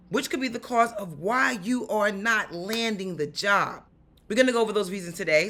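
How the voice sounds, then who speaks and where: A raspy-voiced woman with a New York accent talks straight to the camera in a casual advice vlog. Her tone is bright and upbeat, animated and down-to-earth. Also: on the high side. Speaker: actor and stunt performer giving career advice.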